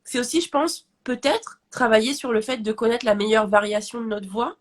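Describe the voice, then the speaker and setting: A woman's voice: fairly high pitch, steady tone, moderate pace. A woman business coach with a nasal voice, talking casually and animatedly about confidence and speaking up. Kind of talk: casual chat on a live stream.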